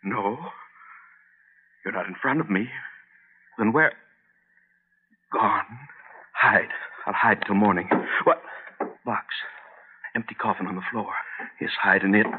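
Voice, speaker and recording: Husky, agitated rich man in fear. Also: on the low side, slow, long pauses. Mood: frantic, panicked. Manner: agitated, clipped exclamations. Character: rich, imperious man reduced to panicked terror. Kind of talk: old-time radio horror acting. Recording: muffled old radio recording.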